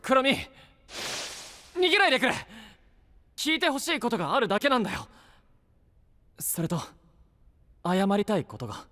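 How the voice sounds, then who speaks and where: An actor playing a brash, rough-talking young knight and younger brother in an anime-style voice drama. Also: male voice, very high-pitched, huge pitch swings, normal speed, long pauses, sharp tone, big-room sound, heavily acted. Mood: pleading, remorseful. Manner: blunt, rough masculine speech.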